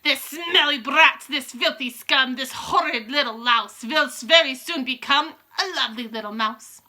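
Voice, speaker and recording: Bossy evil witch queen from a kids book, super sharp high voice, theatrical and gleeful. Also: expressive, full character voice. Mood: scornful. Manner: theatrical, commanding, drawn-out exclamations. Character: imperious, gleefully cruel witch leader, shrieking and commanding.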